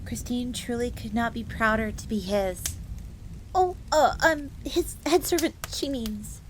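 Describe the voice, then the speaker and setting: A woman's voice: on the high side, a little slow, close to an everyday voice. A woman playing an earnest, devoted young fox maid in a scripted fantasy roleplay audio drama, bookish and speaking of herself in the third person. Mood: proud, devoted. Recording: steady ambient noise, crackling fire.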